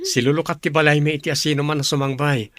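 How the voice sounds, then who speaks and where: An actor playing a jovial, humble older brother in a radio drama, with an Ilocano accent. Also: male voice, on the high side, fairly even intonation, brisk, fairly bright tone, no room sound, close to an everyday voice.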